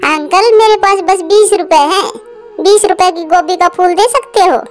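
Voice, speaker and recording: Woman voicing a very high-pitched sweet little girl bird. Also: normal speed, soft background music. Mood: thoughtful. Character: sweet, thoughtful, dutiful young girl bird.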